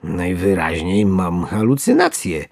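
A storyteller voicing a bewildered, flustered male angler from a storybook, muttering to himself and trying to stay calm. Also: low-pitched, unhurried, gravelly, no echo, clean studio sound, heavily acted. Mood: dazed. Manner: muttering, self-soothing.

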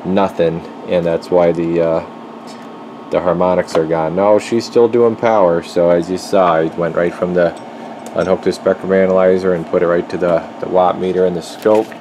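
A man's voice: sits low, little pitch movement, normal speed, noisy background. A man giving a casual hands-on demonstration as a repair technician, thinking aloud in informal workbench slang in an indoor workshop, recorded on a handheld camera. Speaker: radio repair technician presenting his work. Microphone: handheld camera, speaker behind it.

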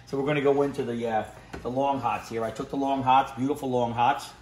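A man's voice: mid-range voice, fairly even intonation, a little fast, a little mellow, low-quality recording. Gushing middle-aged man cooking at home. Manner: enthusiastic, repeats catchphrases, sniffs and tastes food while talking. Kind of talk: casual cooking vlog. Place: home kitchen indoors, then outdoor deck at a grill. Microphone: handheld phone camera.

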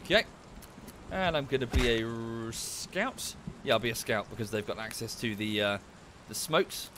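A man's voice: medium pitch, moderate pace, a bit bright, slight background noise. A man livestreaming from home, chatting casually and thanking viewers, a little nasal and bunged up from a cold. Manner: rambling, thanks viewers in passing. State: coming down with a cold.

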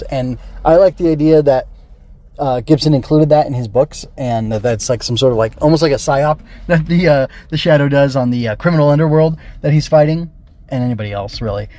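A man's voice: medium pitch, dark tone. A man hosting a casual solo review podcast, rambling and thinking aloud with tentative digressions. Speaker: podcast host and film reviewer.

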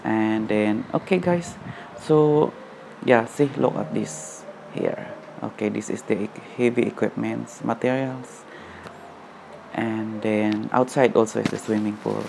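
A man in his thirties talking casually to a handheld phone in a large indoor gym, halting with many fillers, a little out of breath after jogging. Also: lowish voice, vocal fry, noisy background. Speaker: vlogger. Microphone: phone at arm's length.

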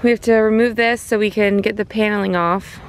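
A woman's voice: mid-range voice, fairly even intonation, a little fast. A woman in her thirties chatting casually and playfully outdoors while working as a vlog co-host. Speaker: vlog co-host filming the work. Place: outdoors at a barn work site. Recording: noisy outdoor camera audio.

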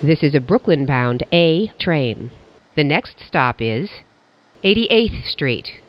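A train announcer reading scripted transit announcements in a clipped, measured, formal way. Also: mid-range voice. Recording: dull lo-fi audio with clear background noise.

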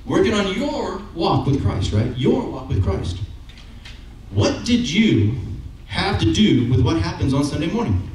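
A man's voice: a little high, very animated, measured pace, darkish tone. A middle-aged man preaching a sermon in a church, talking in a conversational way and checking in with the listeners with "okay?" and "right?" as he walks the stage with a handheld mic. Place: church sanctuary with brick wall. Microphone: handheld microphone, far camera. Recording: very echoey room, from far off, faint noise.

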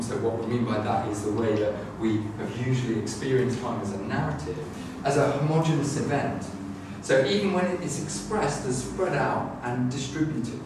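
A middle-aged man giving an academic lecture, talking in a halting way with frequent restarts and fillers. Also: medium pitch, noisy background. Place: classroom with a screen, indoors. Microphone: far camera. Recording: echoey room, far from the camera mic.

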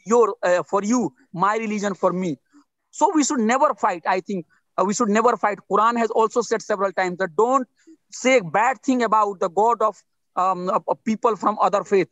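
A man's voice: sits high, fairly bright tone, no room sound, low-quality recording. A man in his forties from India with an Indian English accent, speaking earnestly as a guest on a video-call interview and often repeating himself for emphasis. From India (Bihar). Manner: earnest, repetitive, emphatic with restarts. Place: outdoors under trees.

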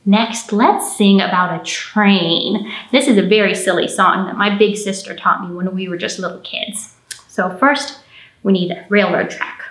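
A woman's voice: medium pitch, unhurried, fairly bright tone. A woman in her thirties leading a storytime for small children, speaking in a simple, playful, encouraging way. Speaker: children's library storytime host. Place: medium-large indoor library room with painted mural. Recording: roomy indoor echo.

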